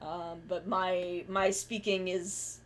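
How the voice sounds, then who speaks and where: A woman's voice: fairly low pitch, level tone, slow pace. A woman streamer chatting casually while she draws, rambling and correcting herself mid-sentence, with the odd swear. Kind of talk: casual chat while drawing.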